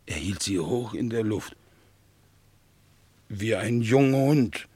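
Romantic bulldog: very low husky voice, lyrical, anxious, theatrical 1960s radio drama. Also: male voice, animated, slow, dark tone, no room sound, heavily acted. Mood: hushed, sad. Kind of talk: 1960s German radio play acting.

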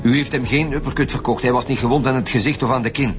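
An actor with a Flemish accent playing a dry, sceptical chief police inspector, blunt and sardonic, in a radio drama. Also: male voice, mid-range voice, fairly even intonation, fast talker, over music, natural voice.

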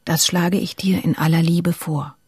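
An actress voicing a weary, resigned letter in a radio drama, quiet, breathy and intimate. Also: female voice, on the low side, steady tone, moderate pace, no echo, clean studio sound. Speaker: actor in an audio drama.

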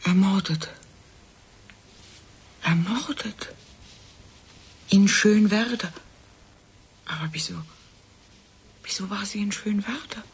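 Very husky, restrained professional woman. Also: medium pitch. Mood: stunned, whispering. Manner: halting, restrained, trailing into whispers. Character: composed professional woman, stunned by her friend's death. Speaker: actor in a radio play. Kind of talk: German radio drama acting, naturalistic dialogue.

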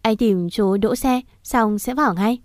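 A woman voicing a young husband who speaks softly and reassuringly. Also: fairly high pitch, measured pace, no echo, studio quality, natural voice. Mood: gentle.

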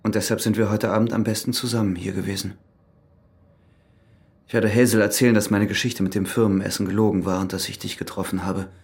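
An actor playing a cool, calculating ex-convict in a radio crime drama, speaking in a controlled, insistent, matter-of-fact way. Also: male voice, fairly low pitch, flat delivery, quick pace, creaky, darkish tone. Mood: calmly instructing. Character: cool, calculating ex-convict who has killed.

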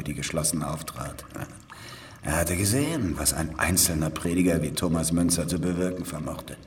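An actor playing a weary, cynical old spy in a German radio drama, sighing and speaking in a deliberate, knowing way. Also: male voice, extremely low pitch, slight background noise.

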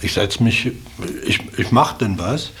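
A middle-aged man reflecting in unscripted talk as an interviewee, halting and repeating words as he searches for phrasing. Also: lowish voice, animated, a bit bright, a little hiss or hum.

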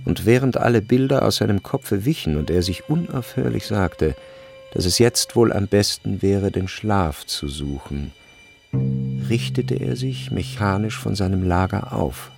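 A man narrating a literary radio drama in a measured, grave and deliberate way. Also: lowish voice, lively intonation, creaky, darkish tone, faint music behind.

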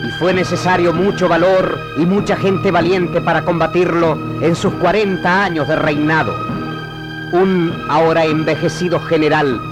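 An actor with an Argentine accent voicing the vast pampa as a solemn, poetic epic storyteller in a radio drama. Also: male voice, on the high side, steady tone, moderate pace, over music, lightly acted, poor audio. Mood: reverent. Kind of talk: scripted radio drama narration.

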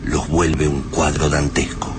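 Male narrator, Argentine, very low voice, scripted radio drama storytelling over clear ambient noise. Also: flat delivery, unhurried.

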